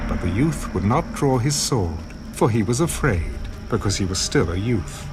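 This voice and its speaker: Casting: male narrator for dramatized scripture, lowish pitch, solemn and measured, strong music bed. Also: lively intonation. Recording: loud background music.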